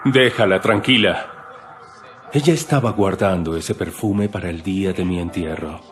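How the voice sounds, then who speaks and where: A man playing a calm, authoritative teacher, speaking solemnly and with measure in a dramatized audio Bible. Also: low-pitched, normal speed, faint music behind, close to an everyday voice.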